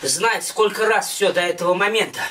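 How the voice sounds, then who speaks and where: A man's voice: sits high, fairly quick, sharp tone, a little hiss or hum. A middle-aged man narrating his own scripted story in a dramatic, emphatic, theatrical way. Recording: music behind a slightly distant voice.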